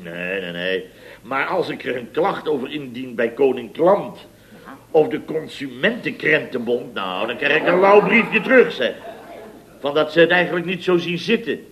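An actor playing a boastful, blustering builder boss in his fifties in an old radio comedy, halting and repeating himself as he goes. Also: mid-range voice, expressive, a bit bright. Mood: grumbling. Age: 50s. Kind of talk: 1970s radio comedy acting.

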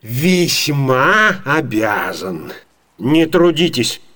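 An actor playing an indignant, haughty, grumbling doctor with pompous sarcasm, in radio theatre comedy. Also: male voice, mid-range voice, big pitch swings, drawn-out words, bright, forceful tone, exaggerated voice. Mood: indignant, scornful.

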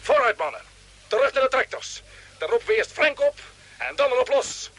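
A man's voice: very high-pitched, expressive, fairly quick, a bit bright, heavily acted. A man playing a resolute expedition commander in an old science fiction radio drama, speaking decisively. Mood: commanding, urgent.

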